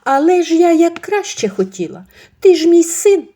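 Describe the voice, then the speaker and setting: A woman doing a shrill scheming mother-in-law. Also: very animated, normal speed, warm tone. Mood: pleading. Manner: shrill, wheedling, high-pitched. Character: scheming older mother-in-law, pushy and self-pitying.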